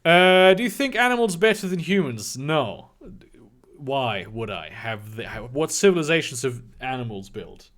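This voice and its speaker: A man in his twenties whose first language is German, answering chat questions on a livestream in a halting, casual way. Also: medium pitch, animated, a bit bright. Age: 20s. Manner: halting, restarts often, reads donor questions aloud.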